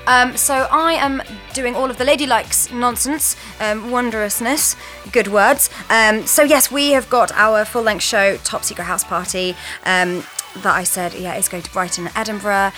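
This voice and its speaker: A woman's voice: fairly high pitch, fairly even intonation, moderate pace, few pauses, bright, forceful tone, soft background music, rough recording. A woman comedian chatting casually as a podcast guest, hesitant and self-deprecating. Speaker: guest sketch performer and comedian.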